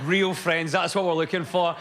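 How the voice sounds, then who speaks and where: A man hosting a comedy panel show, reading out setups and landing punchlines in a brisk, jokey way. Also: a little high, fairly even intonation, very noisy. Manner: brisk and jokey, reads setups then lands gags. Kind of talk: comic quiz hosting, punchline delivery. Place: TV studio with a live audience.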